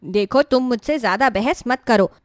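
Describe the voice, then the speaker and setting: A woman's voice: fairly high pitch, moderate pace, no echo, studio quality, lightly acted. A woman voicing a vain, rude young college girl, a snappish and scornful younger sister in a story. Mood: scornful, haughty. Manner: snappish, whiny, haughty.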